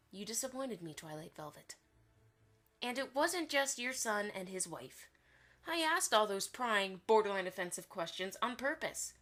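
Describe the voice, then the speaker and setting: Woman acting a stern, high-voiced pony publisher. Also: a bit bright. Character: stern, shrewd, haughty female pony publisher. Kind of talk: audio drama dialogue.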